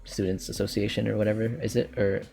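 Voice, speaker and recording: Podcast host, male, Canadian accent, lowish voice, loose rambling delivery with restarts. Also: level tone, quick pace, vocal fry, a little mellow, soft background music. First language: English. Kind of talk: casual chat.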